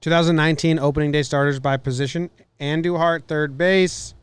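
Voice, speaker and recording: A man with a fairly high voice chats about sports as a podcast co-host. He is opinionated and loose-tongued, and the sound is dry and close. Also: steady tone, fairly quick, clean tone, no echo. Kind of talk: casual sports banter.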